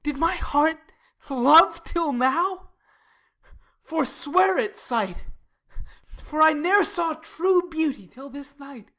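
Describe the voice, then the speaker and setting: A woman playing a lovestruck, brooding young nobleman, declaiming verse in a measured, dreamy theatrical manner. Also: high voice, animated, a bit bright, exaggerated voice. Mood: rapturous. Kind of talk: theatrical Shakespearean verse acting.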